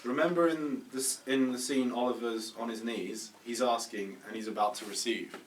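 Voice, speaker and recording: A man playing a flattering, coaxing film director in a comedy script table read, with an encouraging manner. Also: medium pitch, moderate pace, lightly acted. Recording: echoey room, distant mic, faint noise.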